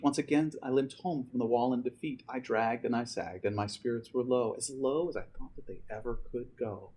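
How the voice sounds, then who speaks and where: A man's voice: a little high, husky. A man reading a rhyming children's story aloud with theatrical, dramatic delivery. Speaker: storybook reader.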